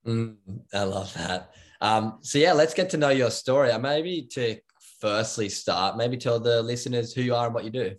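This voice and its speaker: A man's voice: medium pitch, moderate pace. A man hosting a podcast, asking his guest questions in an encouraging, friendly way. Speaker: podcast host. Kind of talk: casual interview questions.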